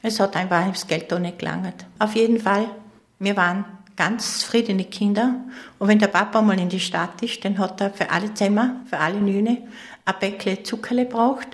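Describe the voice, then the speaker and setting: A woman's voice: medium pitch, moderate pace. An older woman from East Tyrol with a Vorarlberg Austrian accent, reminiscing conversationally as an interview guest. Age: 60s or older.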